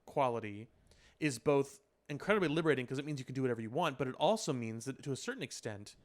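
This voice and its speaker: A man's voice: medium pitch, expressive, fast talker. A man in a casual podcast conversation, explaining his points in an emphatic way. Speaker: podcast host. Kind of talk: casual chat about pop culture.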